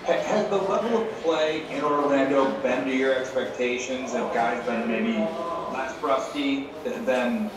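A man's voice: medium pitch, measured pace. A male newspaper sports reporter asking a press conference question, stumbling a little over his words. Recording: distant echoey room with background noise.

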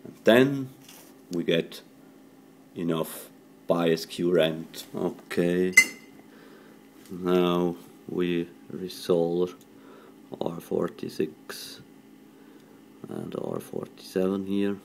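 A non-native English-speaking man giving an improvised hands-on electronics tutorial at a workbench, talking haltingly in short phrases. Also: low-pitched, big pitch swings, gravelly, a little mellow, slight background noise. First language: not English. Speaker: electronics hobbyist demonstrating a radio modification. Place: indoors at a workbench.

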